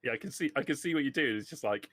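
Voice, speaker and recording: A man's voice: mid-range voice, expressive, very fast, a bit bright, no echo. A man acting as game master, explaining rules casually and chatting with players at a tabletop game.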